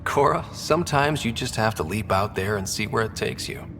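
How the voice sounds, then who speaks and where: A man's voice: expressive, normal speed, creaky, music in the background. An actor playing an easygoing young male space explorer in a video game, talking in a casual, wry way. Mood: warm, casual. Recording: noisy, echoing hall, ambient rumble.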